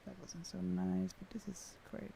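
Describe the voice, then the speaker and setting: A man's voice: high-pitched, completely flat delivery, moderate pace, creaky, mellow, a little hiss or hum. A young man with a Swedish accent, chatting casually on a flight sim livestream and reading out viewer comments, with many fillers. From Sweden.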